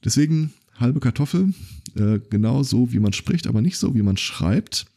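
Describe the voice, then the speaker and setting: A man's voice: medium pitch, animated, normal speed, vocal fry, mellow, breathy edge. A man hosting a casual podcast chat, telling stories loosely with many fillers and restarts. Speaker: podcast host.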